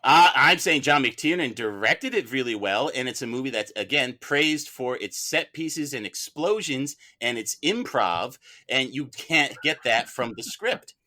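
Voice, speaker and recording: A man's voice: medium pitch, animated, a little slow, few pauses, pushed and bright. A man arguing as defense counsel in a mock courtroom debate, argumentative and leaning on repeated phrases for emphasis.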